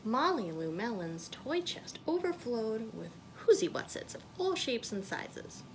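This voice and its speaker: A woman reads aloud as a children's librarian at storytime. Her voice is a little high and full of lift and fall, with expressive phrasing. It is recorded indoors at about arm's length, with faint noise. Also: unhurried, poor audio. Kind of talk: picture book read aloud to children. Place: small indoor room.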